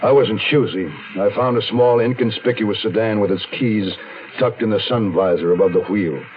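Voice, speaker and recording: An American actor playing a hunted, weary man on the run who tells his own story in a brooding, deliberate radio mystery style. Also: on the low side, a little hiss or hum, lightly acted. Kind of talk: 1970s radio mystery drama, first-person noir narration. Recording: lo-fi phone-like 1970s radio, faint music.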